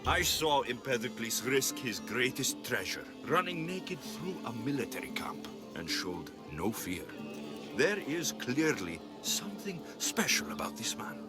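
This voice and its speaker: Wry hired-sword hero, mid-range voice, lively inflection, dry and self-assured game dialogue. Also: male voice, mid-range voice, unhurried, over music. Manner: sardonic, dry. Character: wry, confident male mercenary hero. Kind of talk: video game cutscene acting.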